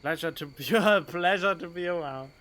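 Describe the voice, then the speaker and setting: Bright-voiced man doing game commentary. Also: fairly high pitch, moderate pace, slight background noise. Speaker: game streamer. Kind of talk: casual let's play commentary. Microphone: desk condenser microphone. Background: game music.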